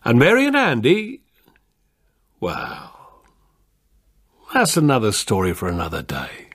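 An actor playing a kindly veteran police constable in a radio drama, calm and plain-spoken with a husky voice. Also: male voice, medium pitch, takes it slowly, full character voice. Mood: reflective. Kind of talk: British radio drama acting and narration.

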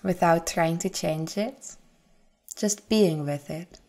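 A woman leading a guided meditation in a soothing, gentle, unhurried manner with long pauses. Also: fairly low pitch. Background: forest nature sounds with birds.

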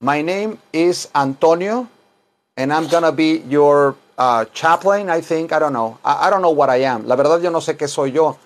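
A middle-aged man gives an informal bilingual language lesson. His voice sits slightly high for a man. He speaks at a very slow pace, repeating phrases and spacing out the words as if dictating. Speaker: English teacher and self-styled chaplain. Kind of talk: bilingual English lesson in Spanish and English. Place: small wood-paneled room indoors.

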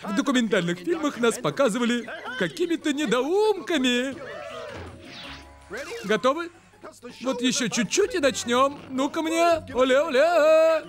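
A very high, swooping cartoon bird. He presents an animal show with cheeky, showy excitement and big exclamations. Music plays behind him. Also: measured pace. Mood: excited. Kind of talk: cartoon dub, show hosting.

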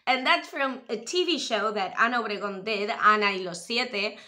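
A woman's voice: a little high, moderate pace. A young woman from Spain, raised in the US, chatting animatedly to camera from a room at home. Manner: animated, expressive, gestures while talking. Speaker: vlogger reviewing a TV show. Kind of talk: casual chatty commentary to camera. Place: small room at home, indoors. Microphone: webcam or camera close in front.

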